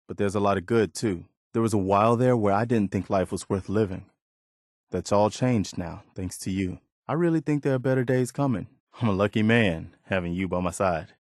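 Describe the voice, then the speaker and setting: Casting: male actor for idealistic wasteland militiaman, video game companion, lowish pitch, dry close sound, sincere and measured delivery. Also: a little mellow, dry recording, natural voice. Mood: warm, sincere. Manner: sincere, plain-spoken, measured. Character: earnest, idealistic militia soldier in a post-apocalyptic wasteland. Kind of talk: video game voice acting, naturalistic dialogue.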